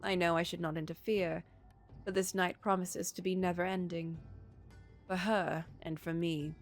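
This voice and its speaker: A woman voicing a sly, menacing fairy godmother in a story, with formal, measured phrasing. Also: fairly low pitch, flat delivery, soft background music, lightly acted. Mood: ominous whisper.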